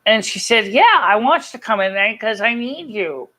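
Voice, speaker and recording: A streamer with a mid-pitched voice speaks casually to an online audience. The delivery is earnest and rambling, with halting stretches while telling personal stories. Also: lively intonation, sharp tone. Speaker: live stream host. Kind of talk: casual chat to a live chat audience, personal storytelling.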